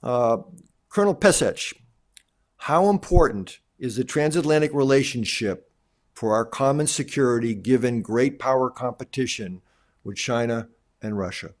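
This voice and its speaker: Male moderator, medium pitch, formal and courteous, question-and-thanks delivery. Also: lively intonation, normal speed. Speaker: panel moderator.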